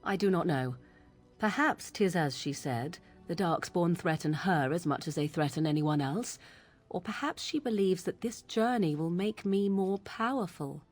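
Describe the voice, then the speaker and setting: An actress playing a proud, troubled young witch in a fantasy game, with formal, archaic, deliberate phrasing. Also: lowish voice, expressive, soft background music. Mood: grim, resigned.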